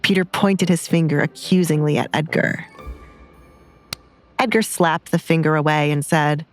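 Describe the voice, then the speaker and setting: A woman's voice: sits low, fairly even intonation, normal speed, faint music behind. A woman reading scripted dramatic story narration.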